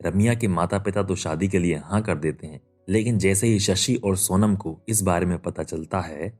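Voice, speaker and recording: A man with a very low voice narrates a cartoon tale from a script. Soft music plays underneath him as he tells the story. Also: moderate pace.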